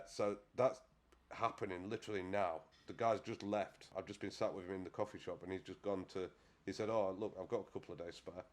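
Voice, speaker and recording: A British man hosting a casual solo podcast, hoarse and nasal from a cold, chatting in a rambling, self-deprecating way. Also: sits low, darkish tone. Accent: British English. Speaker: podcast host.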